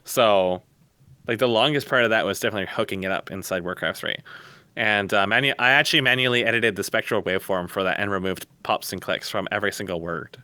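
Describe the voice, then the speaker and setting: A man's voice: on the low side, fast, pushed and bright, smooth, no echo. A man in a casual opinionated discussion on an online voice call, rambling, blunt and emphatic. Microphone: close mic on an online voice call.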